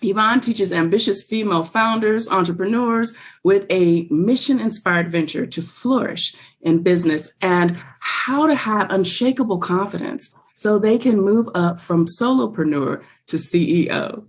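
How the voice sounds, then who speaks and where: A woman's voice: medium pitch, a little slow, hardly any pauses. Affirming woman podcast host. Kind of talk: scripted guest introduction and interview.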